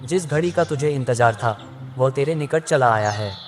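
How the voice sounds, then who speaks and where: A man voicing a mysterious village pandit in an animated moral story, chanting prophetic rhymes in a singsong way. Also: mid-range voice, moderate pace, soft background music, close to an everyday voice. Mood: portentous.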